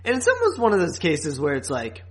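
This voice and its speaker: A man's voice: a little high, wildly swooping pitch, brisk. Casual man podcasting. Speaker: podcast co-host. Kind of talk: casual chat reviewing a TV series.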